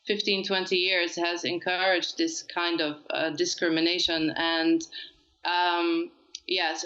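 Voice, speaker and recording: A woman researcher speaking on a panel over a video call, earnest and halting with many fillers. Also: lowish voice, pushed and bright. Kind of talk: remote panel discussion. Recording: dull, lo-fi audio.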